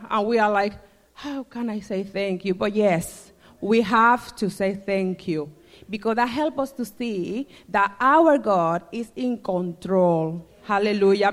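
A woman from the Dominican Republic with a Spanish accent, giving a joyful church testimony that she keeps breaking with hallelujahs. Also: a little high. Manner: joyful, laughing, repeating hallelujah. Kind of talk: church testimony and short sermon. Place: church hall. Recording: long hall reverb.

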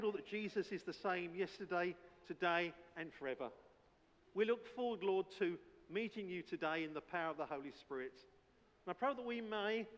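A man, a church elder, praying and preaching earnestly in short emphatic phrases during a service. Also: sits high. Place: indoor church service, speaking from the platform. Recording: muffled, long reverb of a church hall.

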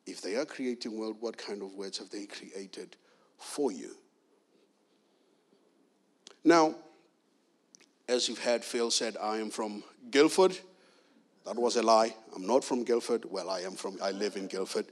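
A man's voice: lowish voice, unhurried, pauses often. A middle-aged man from South Africa preaching a sermon with emphatic, repeated key phrases.

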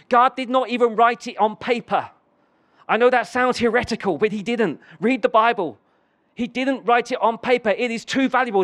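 Man, high voice, sermon storytelling, restarts and repeats, then forceful declarations. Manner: rambling storytelling with frequent restarts, building to emphatic declarations. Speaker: preacher.

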